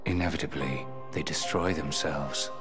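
Stern male game villain, deep register, contemptuous and controlled, heavy score behind. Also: fairly even intonation, over loud music. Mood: cold. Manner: measured, severe. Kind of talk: video game cutscene acting.

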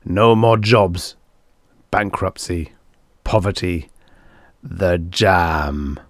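A domineering producer in a dark audio story, voiced by a male narrator. He is grim and coldly calculating, with a lowish, solemn, harsh delivery in a dry room. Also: drawn-out words, no echo, full character voice.

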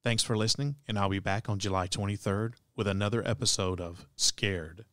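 A man's voice: fairly low pitch, brisk, a bit bright, dry recording. A man hosting a podcast, reading a scripted sign-off. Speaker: podcast host.

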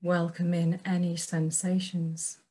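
A woman guiding a meditation in gentle, measured phrases with long pauses between them. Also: lowish voice, little pitch movement, breathy edge. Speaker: meditation teacher. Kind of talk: guided meditation.

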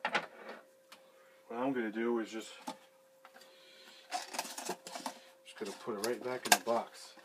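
A man's voice: medium pitch, steady tone, slow pace, long pauses, very noisy. A man in his thirties working on a sink in a small basement room and chatting casually to a camera a few feet away, rambling and swearing freely. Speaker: handyman fixing a sink. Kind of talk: casual how-to video with running commentary. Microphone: fixed camera a few feet away.

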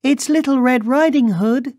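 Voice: high-pitched, a little slow, a little mellow, no echo, clean studio sound, voice pushed up. A storyteller voicing an innocent, polite little girl from a fairy tale, with a sing-song, earnest manner. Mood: bright greeting.

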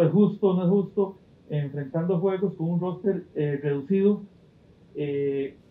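A male reporter from Costa Rica asks a question loudly, restarting his phrasing several times. His voice is somewhat high, and the audio comes through a phone-like line with an echoey room. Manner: loud, halting, restarting question. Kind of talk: press conference question. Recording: tinny echoey phone-like call.

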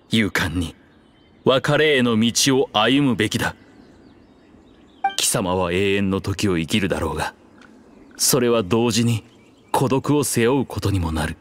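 An actor playing an ancient, proud dragon king in human form, speaking gravely and formally in a measured way for a game. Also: on the low side, fairly bright tone. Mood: solemn, then grave, resigned. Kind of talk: Japanese game voice acting, archaic dramatic dialogue.

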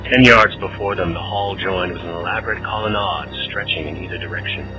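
Low-voiced man narrating fantasy. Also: brisk, lo-fi sound. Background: orchestral game music.

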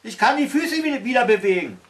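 A man voicing frightened, excitable children in a storybook, loud and exclaiming. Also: extremely high pitch, a little fast, recorded from a distance, pitched above the performer's own voice, exaggerated voice. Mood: excited relief. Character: frightened, despairing storybook children crying for help.

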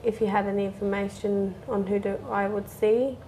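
A woman's voice: medium pitch, level tone, normal speed, mellow, slight background noise, low-quality recording. A young woman playing a patient in a staged clinic consultation, speaking hesitantly in short replies. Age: young adult. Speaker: patient in a role-play consultation. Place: small clinic consulting room, indoors.

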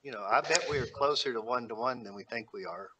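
A man's voice: mid-range voice, quick pace, noisy background. A man speaking earnestly at a school board meeting over a video call, walking through figures. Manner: earnest, reasoned, cites figures.

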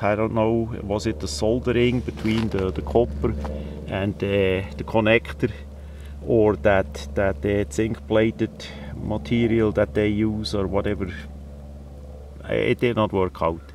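Man, non-native English, very slow halting delivery, casual how-to talk, outdoor camera audio, slight background noise. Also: mid-range voice. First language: not English. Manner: halting, pauses often mid-phrase. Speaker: presenter of a DIY radio antenna video. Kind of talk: casual hands-on demonstration talk. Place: outdoors in windy weather.

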